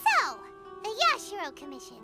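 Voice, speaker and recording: Squeaky little fairy, very high. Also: female voice, huge pitch swings, normal speed, full character voice. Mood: nosy, surprised. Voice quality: squeaky, childlike. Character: tiny childlike female fairy companion, inquisitive and blunt. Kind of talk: video game voice acting. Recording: loud music behind the voice.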